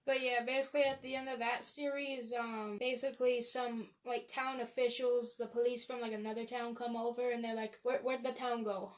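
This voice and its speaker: A woman's voice: on the high side, level tone, normal speed, hardly any pauses. A young woman co-hosting a podcast, explaining things casually in a rambling way full of "like" and "basically". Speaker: podcast host. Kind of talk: casual explanatory chat among friends.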